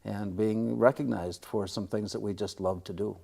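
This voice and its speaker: Low-voiced older Midwestern American man. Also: fairly even intonation, moderate pace, gravelly, warm tone. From small-town upper Midwest, United States. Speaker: radio play-by-play broadcaster, interview guest. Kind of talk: casual interview chat.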